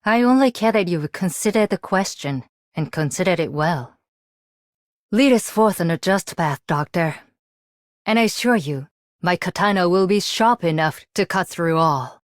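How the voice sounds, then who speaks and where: A woman's voice: on the low side, animated, normal speed, no echo, clean studio sound, natural voice. A woman voicing a stern, loyal swordswoman in a game, speaking in a formal, commanding manner. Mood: stern, resolute. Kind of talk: scripted game voice acting.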